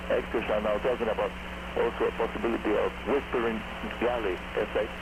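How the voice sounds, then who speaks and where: A man from Cuba hosting a scripted technical radio show, heard through a shortwave receiver. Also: on the low side. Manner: technical, reads at a quick steady clip. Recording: noisy shortwave radio reception.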